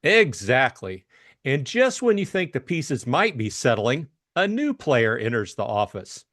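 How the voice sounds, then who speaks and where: A man's voice: mid-range voice, a bit bright, no room sound, studio quality. A man giving a scripted introduction and narration as host of an audiobook reading.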